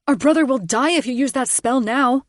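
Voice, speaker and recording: A woman voicing an impulsive young man who shouts urgent warnings about his brother. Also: high-pitched, normal speed, no room sound, clean studio sound, voice pushed up, close to an everyday voice. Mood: alarmed.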